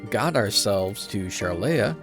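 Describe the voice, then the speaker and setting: A man with a lowish, creaky voice talks casually to his livestream viewers. He sounds informal and easygoing, and music plays clearly behind him. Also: animated, takes it slowly. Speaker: live game streamer.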